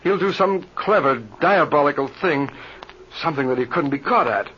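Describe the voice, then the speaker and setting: A man's voice: on the high side, lively intonation, natural voice. Man acting a nervous, stammering pilot, old radio. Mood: anxious, fearful. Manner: halting, stammering, fretful. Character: nervous, suspicious, sleepless male pilot. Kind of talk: old-time radio adventure serial acting. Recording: dull, noisy old radio serial recording.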